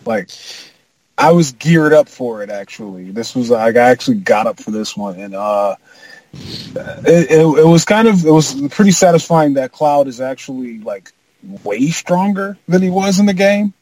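A man in casual chat as a podcast panelist, speaking in a halting, slangy, informal way. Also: mid-range voice, expressive, darkish tone, no room sound, poor audio.

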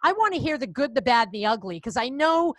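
A woman's voice: fairly high pitch, big pitch swings, fast talker, no room sound. A woman in her fifties with a Boston accent, hosting a podcast interview in a bubbly, gushing way. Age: 50s. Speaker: podcast host. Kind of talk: casual interview chat.

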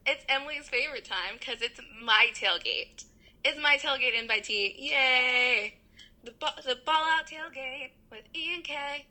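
A young woman co-hosting a podcast, full of dramatic, giggly complaints. Her voice is very bright and slightly high. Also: a little slow. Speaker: podcast co-host. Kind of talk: casual podcast chat.

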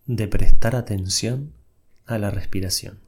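Slow, breathy man guiding meditation. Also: fairly low pitch. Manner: soft, unhurried instructions with long pauses. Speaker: meditation guide. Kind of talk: guided meditation.

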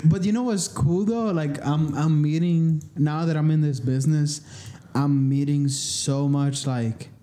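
A man whose first language is Spanish, rambling easily and swearing as he chats on a podcast. His voice is warm and relaxed, at an everyday pitch. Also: measured pace. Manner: relaxed, rambling, profane talk. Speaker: podcast co-host. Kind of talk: casual chat among friends.

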